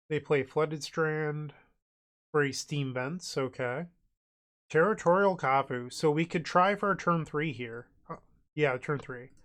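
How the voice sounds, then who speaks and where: A man with a cold, sounding congested and nasal, casually narrating his online card game move by move as he thinks aloud. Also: on the high side. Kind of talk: casual gameplay commentary.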